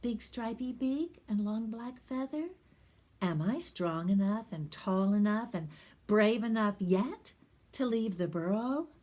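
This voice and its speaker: A woman's voice: medium pitch, animated, slow pace, darkish tone. A woman voicing a small, eager young male puffin chick who keeps asking questions.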